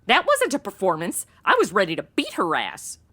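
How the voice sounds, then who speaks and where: A woman's voice: mid-range voice, huge pitch swings, moderate pace, sharp tone, slightly rough, exaggerated voice. An actress playing a brash, ditzy modern young woman in a comedic audio drama, talking in blunt, slangy valley-girl phrases. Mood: sassy, clueless.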